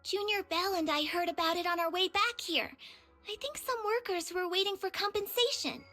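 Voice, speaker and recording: An actress playing a brash, excitable young female disciple in a video game, speaking bluntly. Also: extremely high pitch, normal speed, faint music behind. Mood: earnest. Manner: blunt, accusatory.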